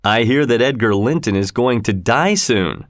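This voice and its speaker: Male narrator as cruel older man, medium pitch, menacing, bitter, commanding, storybook villain, dry sound. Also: expressive, normal speed, dry recording, lightly acted. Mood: falsely amiable. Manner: mock-polite, turning harsh and commanding. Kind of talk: audiobook character voice.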